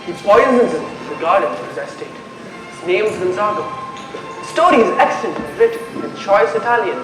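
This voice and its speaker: Brooding, mocking stage prince played by a man, high and animated, echoey hall. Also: a little fast, over music. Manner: theatrical declamation. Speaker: amateur stage actor. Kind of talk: Shakespearean stage acting.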